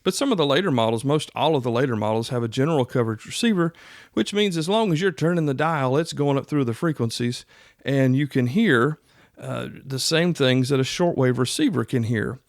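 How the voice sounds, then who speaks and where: A middle-aged man with a medium-pitched voice talks in a very dry-sounding space. He hosts a podcast, teaching in a casual, folksy manner, as if chatting directly with the listener. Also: no echo. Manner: folksy, conversational. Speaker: podcast host. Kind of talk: casual instructional podcast talk.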